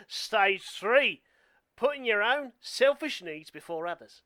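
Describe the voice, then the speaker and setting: A man's voice: high voice, expressive, slow, pushed and bright, no echo, full character voice. A man playing a blunt, conspiracy-minded survivalist in an amateur radio play, speaking like a know-it-all. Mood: deadpan, goading.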